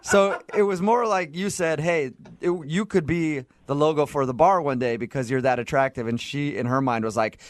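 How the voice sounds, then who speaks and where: A man's voice: on the high side, normal speed, hardly any pauses. A man hosting a radio show with casual, teasing banter and probing questions. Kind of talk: casual on-air banter and interviewing.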